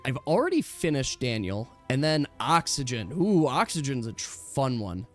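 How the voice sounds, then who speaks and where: A man's voice: medium pitch, sing-song, moderate pace, soft background music. A man chatting casually as a game master, with an enthusiastic, rambling way of explaining things to his players.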